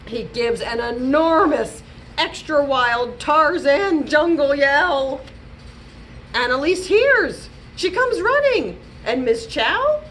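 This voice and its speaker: High, animated woman hosting children's storytime. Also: takes it slowly, slight background noise. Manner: animated, playful, speaking to young children. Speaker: children's librarian and storytime host. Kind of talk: children's storytime read-aloud and chat.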